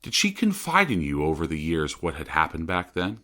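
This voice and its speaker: A man playing a true-crime podcast host, delivering scripted narration in a measured, deliberate way. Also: rumbling low, wildly swooping pitch, bright, forceful tone, heavily acted. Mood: serious. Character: male true-crime podcast host, solemn small-town investigator.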